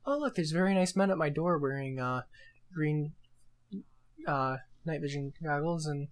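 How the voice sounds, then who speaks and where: A man's voice: fairly high pitch, moderate pace. A young man co-hosting a podcast in casual chat, given to joking, sarcastic asides. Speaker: podcast co-host.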